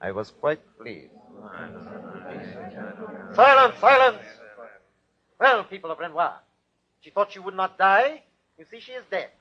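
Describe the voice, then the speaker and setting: An actor playing a ruthless, fanatical German army captain with a commanding, clipped delivery in an old-time radio drama. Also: male voice, high-pitched, sing-song, measured pace, long pauses, sharp tone, heavily acted. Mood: harsh, declamatory. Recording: dull vintage radio recording.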